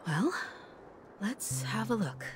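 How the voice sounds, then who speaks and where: A woman playing a composed, kind, quietly wise adult woman in a video game, calm and assured. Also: medium pitch, slow pace, slight background noise. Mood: gentle assurance.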